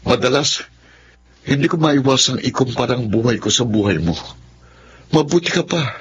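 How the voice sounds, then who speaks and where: A man's voice: mid-range voice, animated, moderate pace, lightly acted. A man playing a lonely, sulky rich student in a Tagalog radio drama, earnest and plaintive, with a Filipino accent. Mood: hurt, plaintive. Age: young adult. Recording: muffled, clearly noisy radio drama.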